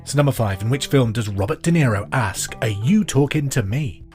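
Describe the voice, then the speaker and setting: A man presenting a podcast pub quiz in a scripted, clear and deliberate way. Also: medium pitch, expressive, darkish tone, soft background music. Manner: repeats questions clearly and deliberately.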